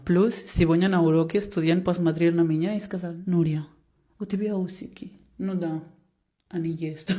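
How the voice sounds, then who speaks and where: A woman's voice: lowish voice, warm tone. A woman from Spain with a Spanish accent, a native Catalan speaker, telling a casual, self-mocking vlog story. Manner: self-mocking, chatty, jokey. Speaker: vlogger.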